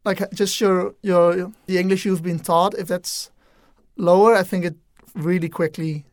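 A woman's voice: on the low side, fairly even intonation, a little slow, darkish tone, no echo, clean studio sound. A young woman with a Dutch accent, a native Dutch speaker, talking casually and thoughtfully on a student podcast with frequent hesitations. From Netherlands. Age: young adult. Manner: thoughtful, hesitant, many "um"s and restarts. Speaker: international exchange student.

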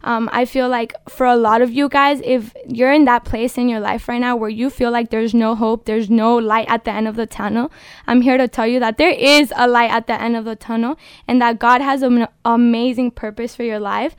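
A passionate young woman in her twenties, originally from the Dominican Republic, talking openly about her faith as a podcast guest. Her voice sits a bit high and sounds smooth and resonant. It is recorded close and dry in a studio. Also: steady tone, normal speed, dry recording. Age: 20s. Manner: earnest and passionate, exhorting listeners. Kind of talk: casual faith-based podcast talk.

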